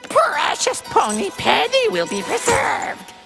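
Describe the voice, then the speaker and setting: An actor playing a gruff, plodding dog creature henchman in a cartoon, with a gravelly voice. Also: very high-pitched, wildly swooping pitch, slow, music in the background, exaggerated voice. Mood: gruff, matter-of-fact. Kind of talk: animated cartoon acting. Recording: long reverb.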